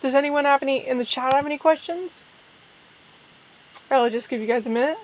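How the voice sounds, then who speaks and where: A young woman with a hoarse, tired voice, chatting casually and rambling as a streamer answering viewer questions. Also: sits high, brisk, slight background noise, rough recording. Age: young adult. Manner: rambling, self-deprecating, frequent restarts. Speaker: VTuber streamer. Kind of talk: casual livestream chat.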